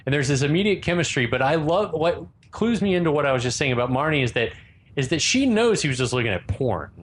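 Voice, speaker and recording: A man's voice: on the high side, animated, fast, slight background noise. A man co-hosting a podcast, chatting casually about a film with wry, joking asides. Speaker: podcast co-host. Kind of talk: casual movie recap chat.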